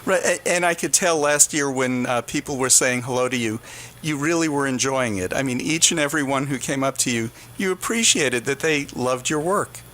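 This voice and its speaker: A man hosting a conversational radio interview, asking questions in a somewhat halting way. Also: mid-range voice, fairly bright tone. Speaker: radio host. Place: radio studio.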